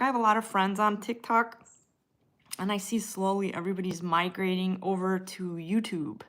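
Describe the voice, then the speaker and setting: A woman with an American Midwestern accent, hosting a casual livestream, chatting and replying to viewers by name as she moves from one comment to the next. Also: medium pitch. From United States. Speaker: livestream host reading and answering viewer chat. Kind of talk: casual live chat with viewers.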